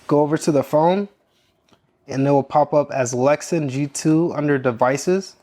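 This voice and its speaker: A man's voice: a little high, moderate pace, warm tone. A man giving step-by-step instructional narration as a product demonstrator.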